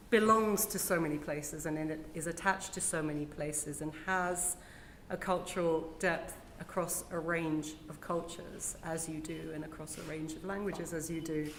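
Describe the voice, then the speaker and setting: A woman interviewer asking a long question slowly and tentatively. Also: on the low side. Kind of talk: conversational discussion. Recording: echoey room with faint noise.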